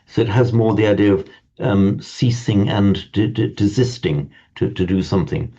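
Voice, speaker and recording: Male language teacher, deep gravelly voice, mellow tone, halting delivery, muffled lo-fi recording. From parents from Ireland. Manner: hesitant, repeats and restarts words. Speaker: Sanskrit teacher. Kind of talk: informal class lecture. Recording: dull lo-fi sound.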